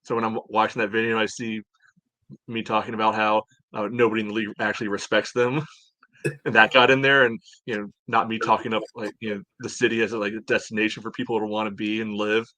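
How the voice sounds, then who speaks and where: A man's voice: on the low side, little pitch movement, quick pace, sharp tone, dry recording, rough recording. A man co-hosting a sports podcast in informal, casual talk full of verbal padding. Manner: informal, peppered with "you know". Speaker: podcast co-host.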